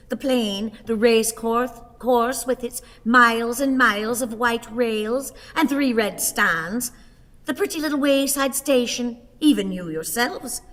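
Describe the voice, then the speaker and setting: An actress playing a querulous, sickly old wife, declaiming with grand indignation and dramatic pauses in a radio play. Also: female voice, a little high, fairly bright tone. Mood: aggrieved, wistful. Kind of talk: stage radio play acting. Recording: long hall reverb, theatre sound.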